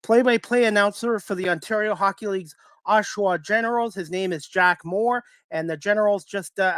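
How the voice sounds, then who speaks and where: A man hosts a sports talk show in a distinctly high-pitched voice. The sound is dry, with little room around it, and he speaks as a radio host addressing listeners and guests. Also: a bit bright, dry recording.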